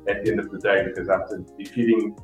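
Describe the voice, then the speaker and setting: A middle-aged man with a Portuguese accent explains things conversationally as an interview guest, in a deliberate way, repeating phrases for emphasis. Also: on the low side, level tone. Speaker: cork industry representative, podcast guest. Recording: roomy, distant mic, faint music.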